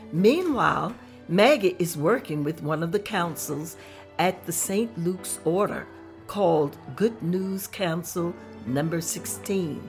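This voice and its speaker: A woman narrating the scene directions at a table read in a plain, steady manner. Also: on the low side, gravelly. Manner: plain, steady descriptive reading. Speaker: narrator reading stage directions.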